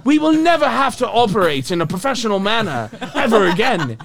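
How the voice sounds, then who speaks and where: Tipsy young podcast co-host hamming up a fake posh British accent, pitched high with a lively, swooping delivery. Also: male voice, a little fast, vocal fry. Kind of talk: drunken comedy podcast banter. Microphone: podcast studio mic.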